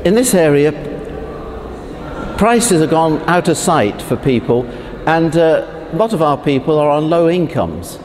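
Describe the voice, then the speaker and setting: A man, a parish priest, giving a prepared statement for a video letter, speaking slowly and haltingly, with pauses and restarts. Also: on the high side, very animated, a little mellow, big-room sound, slight background noise. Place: church.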